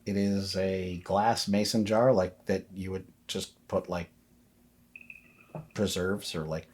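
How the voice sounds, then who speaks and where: A man narrating a tabletop game as game master, conversational and improvised, with a halting flow. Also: low voice, little pitch movement, a little mellow.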